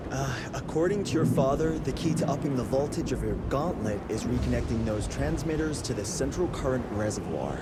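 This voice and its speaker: A man's voice: mid-range voice, animated, brisk, hardly any pauses, heavy background noise, natural voice. An actor playing an eager, stammering young male scientist sidekick in a video game cutscene. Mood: flustered.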